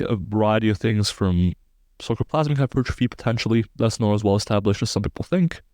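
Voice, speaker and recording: A man's voice: lowish voice, a little mellow, no room sound. A man in his twenties, raised in Belgium, explaining sports science research in a precise, technical way as a podcast guest. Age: 20s.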